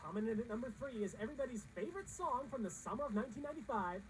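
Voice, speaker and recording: A woman's voice: fairly high pitch, animated, very fast, poor audio. A woman narrating a scripted pop-culture countdown in an emphatic, slangy, wry manner. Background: music under the voice-over.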